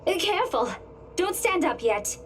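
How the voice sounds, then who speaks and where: A woman's voice: very high voice, sing-song, moderate pace, a little hiss or hum. An actress playing a worried, bossy young female friend in a horror visual novel game. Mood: urgent concern.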